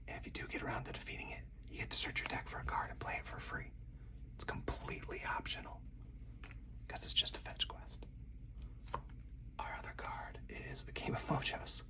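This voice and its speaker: Whispering man, slightly distant from the mic. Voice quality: breathy, unvoiced whisper. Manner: slow, soothing, deliberate step-by-step narration. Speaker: solo board game player and channel host. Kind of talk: whispered ASMR game play-through.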